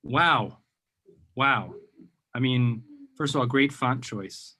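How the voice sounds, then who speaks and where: A man's voice: medium pitch, slow, pushed and bright, husky. A man hosting a live-stream interview, enthusiastic and rambling, with many ums and uhs. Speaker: host of a live video interview show.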